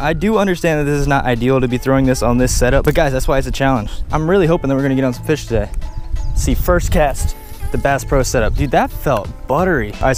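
Fast-talking young American man, chatty. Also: mid-range voice, darkish tone. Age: 20s. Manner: informal, chatty, addresses viewers as guys. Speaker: fishing vlogger. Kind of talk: casual vlog talk to viewers.

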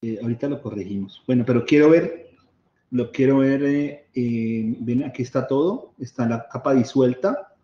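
A man's voice: mid-range voice, moderate pace. Chatty man demoing his screen, echoey. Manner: chatty, laughs easily, repeats words. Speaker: workshop presenter sharing his screen. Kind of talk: casual live screen-share demo. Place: video call. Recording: echoey room, slightly dull audio.

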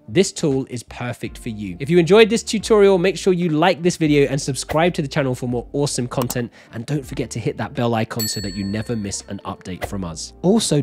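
A man's voice: mid-range voice, darkish tone. Emphatic man presenting over music. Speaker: host of a software tutorial channel. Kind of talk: scripted upbeat video tutorial narration. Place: small home-style studio, indoors. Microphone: close desk microphone. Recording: background music.

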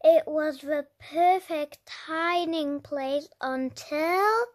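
A young-sounding reader telling a children's story aloud with deliberate, dramatic emphasis. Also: sits high. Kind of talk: expressive read-aloud narration for young children.

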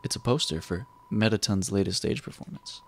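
Joking let's play host. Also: male voice, on the low side, animated, fairly quick, creaky. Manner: joking, laughing, reads dialogue aloud. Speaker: gaming channel host. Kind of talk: casual let's play commentary.

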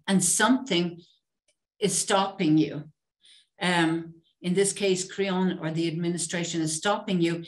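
A woman in her sixties, a nurse, speaking reflectively in a panel discussion on a video call. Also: lowish voice. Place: room at home. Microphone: computer mic on a video call.

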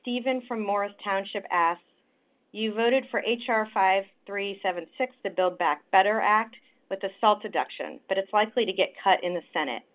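A woman moderating a telephone town hall, introducing callers and reading out questions. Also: medium pitch. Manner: reads questions out clearly. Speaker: staff moderator. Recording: tinny phone line.

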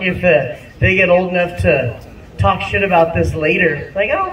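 Rambling high-voiced man at an open mic. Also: fairly bright tone. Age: 30s. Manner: rambling, self-deprecating, laughs at own jokes. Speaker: stand-up comic. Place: small bar-like comedy venue indoors. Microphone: handheld mic, phone filming from the audience. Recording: roomy venue sound from a distant phone.

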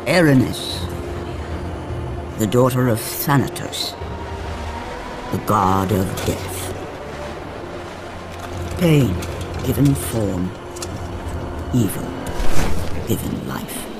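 An actor voicing a solemn, ominous mythic storyteller in a game, portentous and measured. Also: male voice, mid-range voice, expressive. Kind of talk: dramatic game narration. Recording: music behind.

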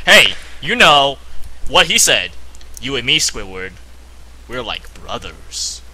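A man's voice: medium pitch, very animated, takes it slowly, pushed and bright, poor audio. A young man making crude, teasing jokes as casual commentary on a friend's reading. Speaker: heckling friend of the reader.

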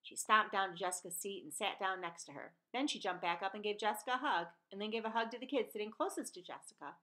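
A woman's voice: medium pitch, fast, pushed and bright. Woman reading a story aloud. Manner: acts out dialogue in changed voices. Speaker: reader of a children's novel. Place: small room at home, indoors. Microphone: webcam or laptop microphone at desk distance.

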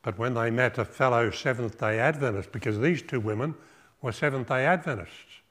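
An older man preaching a sermon in a small church hall, measured and reflective, posing rhetorical questions and listing virtues one by one. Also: medium pitch, fairly bright tone. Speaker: pastor. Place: small church hall with drum kit, indoors.

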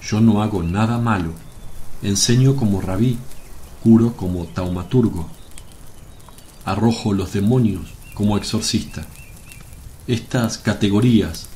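An actor playing a gentle, grave rabbi and healer, solemn and measured, in a radio drama. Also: male voice, lowish voice, slight background noise, natural voice. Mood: calm, firm. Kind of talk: Spanish radio drama acting.

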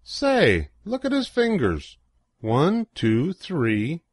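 An older man reading a children's picture book aloud in an expressive, sing-song way with deliberate pauses. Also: on the high side, slightly rough, dry recording, clean studio sound. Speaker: storybook reader.

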